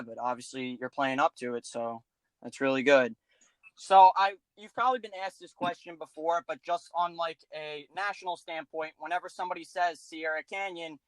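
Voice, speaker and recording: A man's voice: fairly high pitch, a bit bright, no room sound, rough recording. Upbeat young male podcast host. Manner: upbeat, casual, many "like"s. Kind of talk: casual podcast interview.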